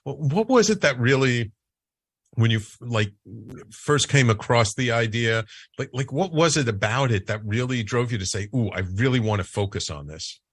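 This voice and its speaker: A man hosting a talk show, animated, with frequent stammers and restarts. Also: mid-range voice, moderate pace, husky, no echo, clean studio sound. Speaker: host.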